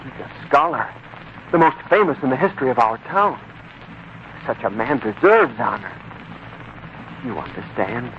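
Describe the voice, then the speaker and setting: An actor playing an earnest, anxious young bridegroom and humble employee, deferential and hesitant, in a 1940s radio drama. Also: male voice, mid-range voice, animated, normal speed, long pauses, natural voice. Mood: pleading, distressed. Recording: muffled, faintly noisy 1940s radio broadcast.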